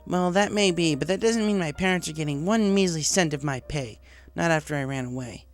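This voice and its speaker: A man's voice: a little high, fairly quick, soft background music, pitched above the performer's own voice, natural voice. A man voicing an eager, cocky young female pegasus pony in a story reading, with an informal, brash way of talking. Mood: defiant.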